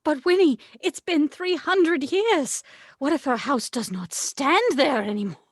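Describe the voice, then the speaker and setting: A woman's voice: high-pitched, lively intonation, a little slow, no echo, clean studio sound. A woman playing a meek, overlooked witch sister, hesitant and deferential, in a script table read. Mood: timid.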